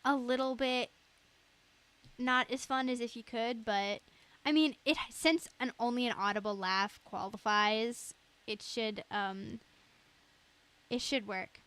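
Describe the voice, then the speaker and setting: A woman's voice: on the high side, steady tone, slow, pauses often, fairly bright tone. A young woman live streamer chatting casually in a giggly, rambling way.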